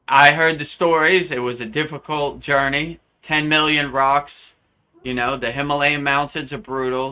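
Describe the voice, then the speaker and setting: Bright, animated American spiritual teacher. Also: male voice, mid-range voice, clean tone. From United States. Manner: animated, often acts out quoted voices. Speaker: spiritual teacher answering questions. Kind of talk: storytelling in a live Q&A.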